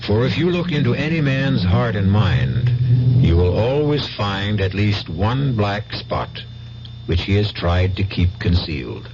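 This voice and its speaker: A man hosting a radio mystery drama with measured, theatrical, ominous scripted narration. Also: fairly low pitch, animated, noisy background. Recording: dull old radio recording.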